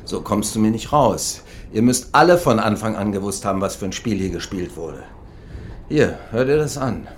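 An actor playing a sceptical, short-tempered investigator in a radio drama, questioning in clipped, pressing phrases. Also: male voice, on the low side, lively intonation, moderate pace, slight background noise. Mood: angry.

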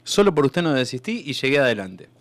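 A man's voice: mid-range voice, sing-song, brisk, no echo, close to an everyday voice. A man playing an eager, rambling martyred man in a comedic radio sketch, hesitant and stammering as he claims his reward. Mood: earnest, rambling.

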